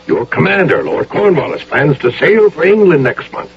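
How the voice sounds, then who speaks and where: A self-satisfied Hessian colonel in a radio play. The voice is fairly high and gravelly, swooping theatrically with condescension. The recording is muffled, with a faint hum. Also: male voice, very animated, moderate pace. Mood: dismissive. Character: pompous, overconfident Hessian colonel, mature man. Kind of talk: radio drama acting.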